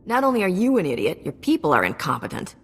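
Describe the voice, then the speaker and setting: A woman's voice: on the low side, wildly swooping pitch, quick pace, slightly rough, full character voice. An actress playing a sardonic, self-assured female smuggler captain in a video game, wry and blunt.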